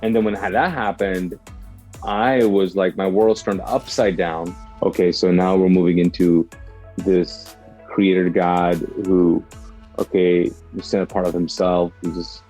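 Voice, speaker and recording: A man's voice: deep voice, mellow. A middle-aged man from Kuwait, a podcast guest telling personal stories conversationally, with asides and self-corrections.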